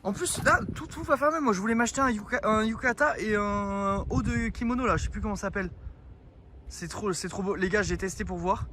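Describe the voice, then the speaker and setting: A man's voice: high voice, a little fast, sharp tone, slight background noise. A young man streaming live outdoors, chatting and joking with his viewers, a bit out of breath. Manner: joking, teasing, talks to chat. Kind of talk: casual IRL stream chat. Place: outdoors in a town street.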